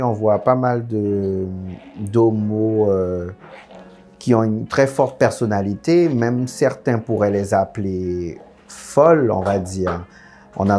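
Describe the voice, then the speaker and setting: A young man from French Guiana talks frankly and easily, his husky voice sitting low and mellow. He tells his story in a loose, casual way, full of fillers. Faint music runs beneath him. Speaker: guest. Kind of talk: candid casual interview chat.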